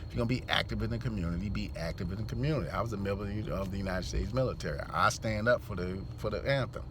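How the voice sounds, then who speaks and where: A man's voice: lowish voice, rapid-fire. Blunt, heated man ranting outdoors. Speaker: host of a commentary channel. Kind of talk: ranting commentary vlog. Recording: outdoor street noise.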